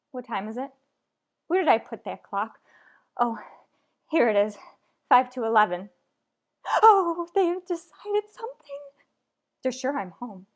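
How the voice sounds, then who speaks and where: A woman playing a frantic, demanding married woman, overwrought and melodramatic in a retro radio thriller style. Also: a little high. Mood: fearful, agitated.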